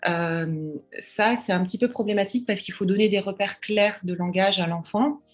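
A woman's voice: mid-range voice, normal speed, soft background music. A woman speaking as a child language expert, explaining with earnest, patient advice and frequent hesitations. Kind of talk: advice interview.

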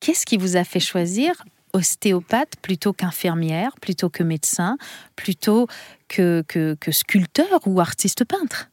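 A woman's voice: lowish voice, very animated, measured pace, a little mellow, no echo. Polite woman radio host, dry sound. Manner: polite, complimentary, guiding questions. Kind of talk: radio interview.

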